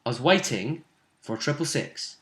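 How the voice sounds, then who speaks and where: A man chatting casually as a game master, recounting a tabletop session like an animated storyteller. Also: medium pitch, normal speed. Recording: echoey untreated room.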